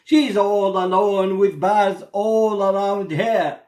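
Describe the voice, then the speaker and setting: A man's voice: high-pitched, steady tone, slow, darkish tone. A man with an Iraqi Arabic accent playing a soulful, remorseful merchant in dramatic, theatrical storytelling. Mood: gentle entreaty. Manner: dramatic, lamenting. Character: grieving, remorseful male merchant, bird owner. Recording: echoey room.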